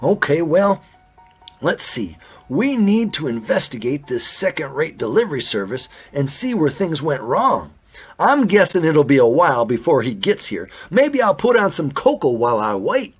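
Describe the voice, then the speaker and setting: A man plays an earnest raccoon sheriff for a children's audio mystery. The voice is high and swings lively in pitch, folksy and inquisitive, with music behind it. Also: normal speed, husky. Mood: curious, businesslike. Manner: animated, folksy, wordplay-loving. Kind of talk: children's audio drama acting.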